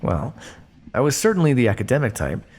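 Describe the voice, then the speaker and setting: A man playing a condescending, coolly menacing vampire scientist in an ASMR roleplay, speaking to his captive in a measured, teasing way. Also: medium pitch, huge pitch swings, darkish tone, a little hiss or hum. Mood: teasing, reflective. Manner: smug, measured, teasing, theatrical. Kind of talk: ASMR roleplay monologue addressed to listener.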